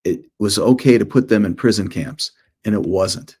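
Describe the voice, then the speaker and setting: A man's voice: lowish voice, normal speed, warm tone, slightly rough. A middle-aged man, an author, presenting informally to schoolchildren in short storytelling phrases with conversational asides.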